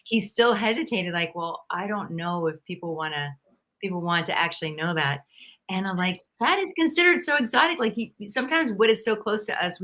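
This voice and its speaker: A woman who is a charisma coach, with a bright, sharp tone and a voice on the low side for a woman. She tells stories in a lively way, acting out other people's lines, and laughs freely. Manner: lively storyteller, laughs often; acts out quoted people's lines. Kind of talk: live-stream conversation.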